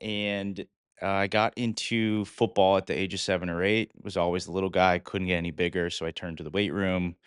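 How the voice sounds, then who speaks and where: A man in his thirties from Connecticut talks through his coaching career in an earnest, casual way. His voice is very deep and smooth. The recording is dry and close to the mic. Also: level tone, a little fast, dry recording. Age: 30s. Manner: earnest storyteller with frequent "uh" fillers. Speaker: guest, fitness coach. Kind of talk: casual interview.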